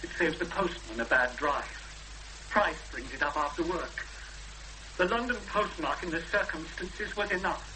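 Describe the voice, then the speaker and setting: An actor playing a beaten, bitter, self-pitying recluse in a 1970s British radio drama, halting and plaintive in his protests. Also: a little high, close to an everyday voice. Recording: faintly noisy, humming old radio broadcast.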